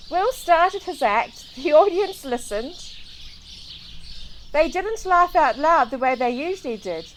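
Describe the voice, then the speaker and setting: A woman from New Zealand reading a children's storybook aloud in an expressive, deliberate way. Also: sits high, slight background noise. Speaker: children's book reader. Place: outdoors in a garden. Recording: outdoor recording with clear birdsong. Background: birdsong.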